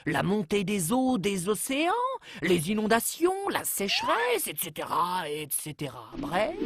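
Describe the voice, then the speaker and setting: A woman narrates an educational cartoon like a storybook tale, in a measured, deliberately phrased storytelling delivery. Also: a little high, background noise.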